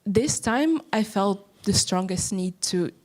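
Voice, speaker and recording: A woman from Russia in the audience of a panel event, sharing her experience of emigrating, speaking reflectively with many pauses and fillers. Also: medium pitch, expressive, darkish tone. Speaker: audience member.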